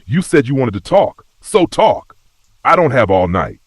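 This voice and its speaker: A defiant, short-tempered fanged investigator acted by a man in a dark supernatural audio story. The delivery is tense and growling, husky in tone, with pitch that jumps about sharply. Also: sing-song, normal speed, no echo, studio quality, exaggerated voice. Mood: irritated.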